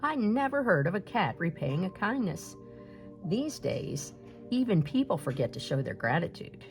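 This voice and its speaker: A woman's voice: fairly low pitch, sing-song, normal speed, faint music behind. A woman voicing a rich, generous old male merchant in a storybook, hearty and full of wonder. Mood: astonished.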